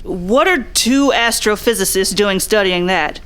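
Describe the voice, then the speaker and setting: A woman's voice: medium pitch, animated, unhurried, a bit bright, smooth. A woman playing a blunt, suspicious 1940s private eye who questions in a pressing, hard-boiled way, in radio drama style. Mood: probing, skeptical.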